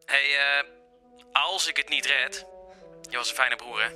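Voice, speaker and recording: An actor in a radio drama playing a harried, flippant adult son who grumbles and hesitates. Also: male voice, medium pitch, measured pace, pushed and bright, soft background music. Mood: grumbling, flippant. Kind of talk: naturalistic radio drama acting.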